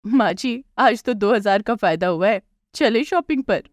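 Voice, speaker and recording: Woman as a sly young daughter-in-law, animated pitch. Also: moderate pace, no room sound, studio quality, natural voice. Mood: gleeful.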